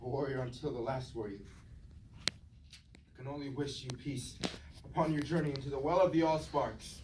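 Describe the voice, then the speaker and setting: A man's voice: mid-range voice, steady tone, moderate pace, lightly acted. Man acting a noble old robot leader, echoey room. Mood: mournful, gentle. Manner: formal, declamatory, archaic phrasing. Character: weary, noble old male robot war leader, peace-seeking. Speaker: actor in a staged reading. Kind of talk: theatrical Greek-tragedy stage acting. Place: indoor room, staged reading at a university. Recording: distant, echoey, noisy room recording.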